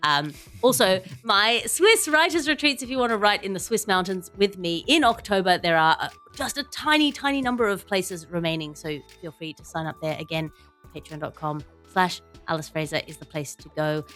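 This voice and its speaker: A woman's voice: medium pitch, lively intonation, normal speed, sharp tone, soft background music. A woman hosting a comedy podcast in a wry, wordy, playful way. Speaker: podcast host.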